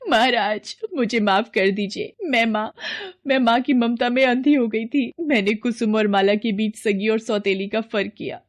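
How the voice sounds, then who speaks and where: A woman's voice: a little high, steady tone, normal speed, lightly acted. Woman as a theatrical, scheming stepmother queen. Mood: pleading. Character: scheming, spiteful middle-aged queen and jealous stepmother.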